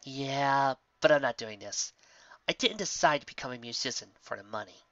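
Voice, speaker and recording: A man's voice: medium pitch, animated, moderate pace, fairly bright tone, dry recording, highs cut off. A man voicing a modest, sceptical young female pony musician in a fantasy cartoon fan story. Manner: plain, sceptical.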